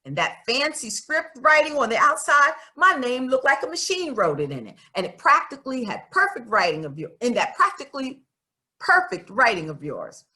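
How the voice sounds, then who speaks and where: Woman reading as an outspoken, lively schoolgirl. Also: sits high, normal speed, pitched above the performer's own voice, heavily acted. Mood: awed, pleased. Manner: folksy, candid, emphatic. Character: outspoken, questioning young schoolgirl writing letters, earnest and stubborn. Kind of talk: expressive storybook read-aloud in first person.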